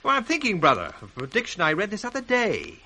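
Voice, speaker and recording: An actor with a British accent playing a sly, ambitious bastard son in a theatrical, sardonic 1960s radio Shakespeare style. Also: male voice, sits high, huge pitch swings, fast talker, pushed and bright, exaggerated voice. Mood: feigned concern. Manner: theatrical, sardonic, crisply declaimed. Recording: faintly hissy 1960s radio recording.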